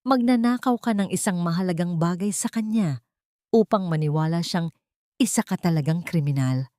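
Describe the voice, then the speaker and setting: A cold, wealthy older matriarch villain, acted by a woman narrator in an audio drama. She speaks low and commanding, with menace, in a dry, close recording. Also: moderate pace, warm tone, no echo, natural voice. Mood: menacing, commanding. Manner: threatening, commanding.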